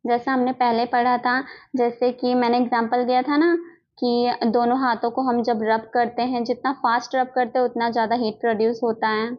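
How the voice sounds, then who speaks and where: High-voiced Indian woman teaching, dull recording. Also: flat delivery, moderate pace. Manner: repetitive step-by-step explaining with check-in tags. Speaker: physiotherapy teacher. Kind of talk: explanatory lesson mixing read-out notes and explanation. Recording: dull lo-fi microphone sound.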